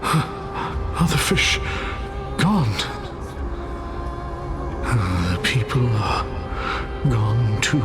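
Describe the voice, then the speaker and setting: Slow, animated ghostly fishmonger. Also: male voice, mid-range voice. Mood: forlorn. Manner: rambling, broken repetition. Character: forlorn, indignant dead fishmonger endlessly selling fish. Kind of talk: video game voice acting.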